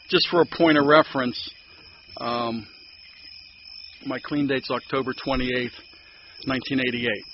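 An older man with a Pittsburgh accent sharing his story at a recovery meeting, rambling and halting with many fillers and restarts. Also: medium pitch. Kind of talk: personal sharing at a support meeting. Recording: dull lo-fi recording with background noise.

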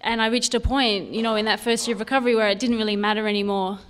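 A woman's voice: a little high, fairly even intonation, fast, fairly bright tone. A woman in her thirties with an Australian accent, slightly mixed with Canadian, gives an informal personal talk to an audience in a candid, lightly humorous, conversational way. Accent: Australian with a hint of Canadian. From Sydney, Australia. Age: 30s. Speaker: guest speaker at a recovery convention.

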